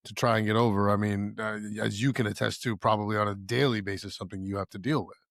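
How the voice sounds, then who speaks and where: Gravelly rambling male podcast host. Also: on the low side, fairly even intonation, fast talker, fairly bright tone, no room sound, studio quality. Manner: rambling, friendly, restarts often. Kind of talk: casual interview chat.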